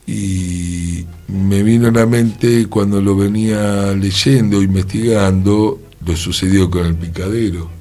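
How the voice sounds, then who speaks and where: A man with a Rioplatense Argentine accent, co-hosting a radio conversation in a thoughtful, measured way. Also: sits low, fairly even intonation, dark tone. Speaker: radio show co-host.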